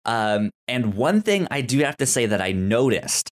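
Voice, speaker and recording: A man co-hosting a podcast in casual TV recap chat, excitable and often stammering over repeated words. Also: mid-range voice, normal speed. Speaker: podcast co-host.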